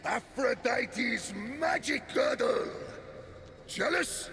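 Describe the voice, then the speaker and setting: A man's voice: extremely high pitch, lively intonation, drawn-out words, fairly bright tone, slight background noise, exaggerated voice. A man playing a vain, blustering, touchy war god in a video game, theatrical and easily flustered. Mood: smug, excited. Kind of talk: video game cutscene voice acting. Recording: music behind the voice.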